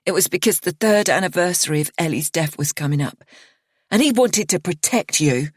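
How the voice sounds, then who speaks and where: A woman's voice: fairly low pitch, expressive, husky, dry recording, studio quality. A woman voicing a bitter grieving young woman. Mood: accusing. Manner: slow, accusing drawl. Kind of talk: audiobook character reading.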